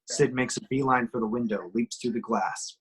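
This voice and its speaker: A man's voice: medium pitch, normal speed, slight background noise. A man giving emphatic, suspenseful scripted narration as he reads action descriptions at an amateur table read.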